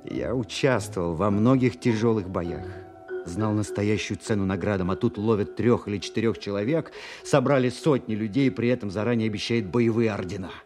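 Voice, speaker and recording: An actor playing a proud young army captain and war veteran, lovestruck and resentful, in a measured, expressive audio drama performance. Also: male voice, on the low side, faint music behind, lightly acted. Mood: indignant, aggrieved. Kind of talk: Soviet-style audio drama, first-person narration and dialogue.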